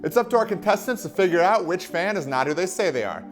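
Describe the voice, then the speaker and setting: A man's voice: high-pitched, lively intonation, fast talker. A man reading scripted introductory narration for a game show. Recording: music clearly behind voice-over.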